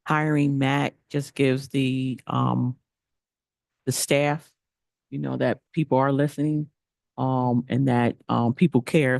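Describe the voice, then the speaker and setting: Man, gravelly voice, board chair, measured, halting, dry sound. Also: medium pitch, a little mellow, dry recording. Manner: measured, hesitant with ums. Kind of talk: chairing a public meeting. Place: public meeting room.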